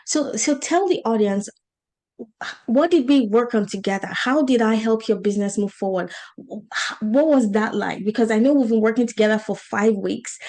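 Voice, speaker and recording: A woman's voice: a little high, a little mellow. A woman with a Nigerian accent, hosting a conversational interview in an earnest way, repeating words for emphasis. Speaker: podcast host and business coach.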